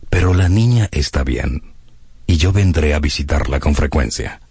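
An actor playing a calm, formal, reassuring country doctor in a radio drama. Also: male voice, very deep voice, normal speed, slightly rough, dry recording, heavily acted.

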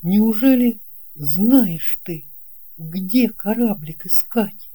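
Young storybook lad, acted by a woman: puzzled, wondering, doubtful, soft-toned with a raised voice. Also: mid-range voice, expressive, extremely slow, breathy edge, pitched above the performer's own voice, heavily acted. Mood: puzzled, curious. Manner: questioning, wondering. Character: curious, puzzled young boy, sensitive and doubtful. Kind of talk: children's audio storybook dialogue.

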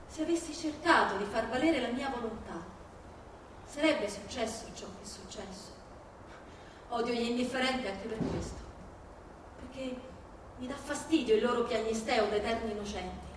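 Echoey, high-pitched woman declaiming on stage. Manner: emphatic declamation in short, deliberate phrases. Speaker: stage performer reciting a political text. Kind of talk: dramatic theatrical recitation. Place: live theatrical reading in a reverberant space. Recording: echoey hall, far from microphone, faint noise.

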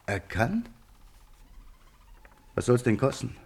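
A man's voice: mid-range voice, big pitch swings, takes it slowly, creaky, darkish tone, exaggerated voice. A man playing a genial, playfully coaxing painter in a German radio play. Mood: curious, polite. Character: genial male painter charmed by a pet monkey.

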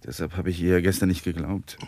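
Ein Schauspieler spielt in einem deutschen Krimihörspiel einen gereizten, innerlich zerrissenen Ehemann, theatralisch zwischen beherrscht und heftig. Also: male voice, deep voice, fairly even intonation, fairly quick, a little mellow. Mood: agitated, defensive. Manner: theatrical, swinging from controlled to vehement. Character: exasperated, emotionally torn husband demanding a divorce. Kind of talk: German radio crime drama acting. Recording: 1980s radio play with clear noise.

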